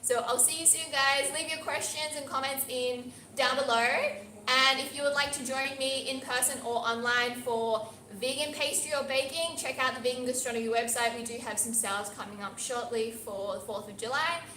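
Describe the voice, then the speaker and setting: An Australian woman with a bright, fairly high voice walks viewers through a recipe in a chatty, encouraging way. She is heard in an echoey teaching kitchen with a faint hum behind her. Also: steady tone, normal speed. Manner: chatty, encouraging, talks while working with her hands. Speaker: pastry instructor. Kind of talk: live cooking demonstration. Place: teaching kitchen at a pastry academy.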